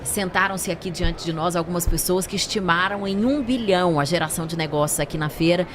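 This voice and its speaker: A woman's voice: on the low side, brisk. A Brazilian woman hosting a live interview chat at a trade fair. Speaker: host of a live event podcast. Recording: clear noise of a busy fair. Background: trade fair ambience noise.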